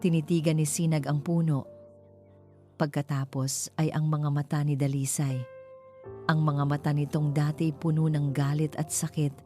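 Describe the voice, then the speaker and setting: A woman narrates a scripted story. Her voice is low and soft-edged, with a mellow tone, and music plays underneath. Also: level tone, moderate pace. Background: background music.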